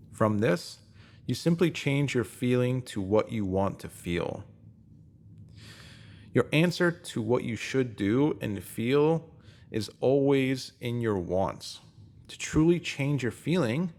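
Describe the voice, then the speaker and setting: A man's voice: medium pitch, darkish tone. A man reading a self-help post as scripted narration, in an earnest, emphatic manner.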